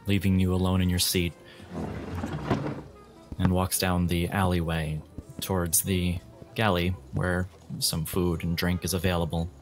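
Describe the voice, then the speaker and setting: Man, game master, very low pitch, atmospheric tabletop narration, faint guitar backing.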